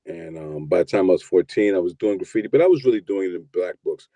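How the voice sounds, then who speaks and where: A man in his fifties from New York telling his story in a relaxed, rambling interview, often repeating words as he starts. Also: low-pitched, fast talker, no echo. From New York, USA. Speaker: guest, producer and DJ.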